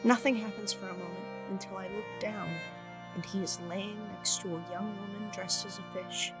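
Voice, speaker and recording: Casting: actress as wry, deadpan female narrator for an audio short story, with music clearly underneath. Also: medium pitch, normal speed, close to an everyday voice. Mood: wry, measured. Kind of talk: scripted first-person story narration.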